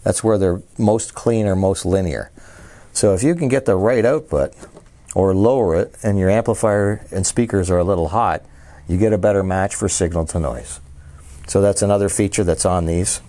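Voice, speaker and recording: A man's voice: deep voice, moderate pace, dark tone, dry recording. A man casually showing and explaining a custom-built preamp, walking through its switches and features with enthusiasm. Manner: enthusiastic, explains features while handling gear. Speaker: audio dealer presenting a product. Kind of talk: casual product demo.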